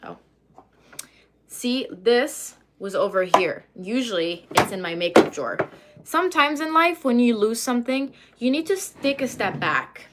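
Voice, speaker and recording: A woman's voice: on the high side, lively intonation, a little slow, very noisy. Chatty woman livestreaming while doing makeup. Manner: chatty, rambling, many fillers and asides. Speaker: livestreamer answering chat.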